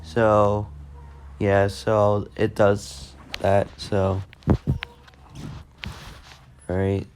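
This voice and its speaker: A man giving a casual, unscripted tech demo in a halting, repetitive way that tends to trail off. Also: lowish voice, monotone, background noise. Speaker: video host demonstrating a walkie-talkie app.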